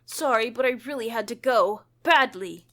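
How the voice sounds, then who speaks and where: A woman's voice: a little high, moderate pace, bright, forceful tone. Woman reading as a sheepish, polite male passenger. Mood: sheepish urgency. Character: polite, apologetic adult male train passenger in a suit.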